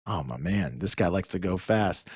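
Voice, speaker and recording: A man's voice: low voice, animated, moderate pace, breathy edge, no echo. Effusive male podcast host, dry close sound. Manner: effusive, informal, chatty. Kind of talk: casual conversational interview.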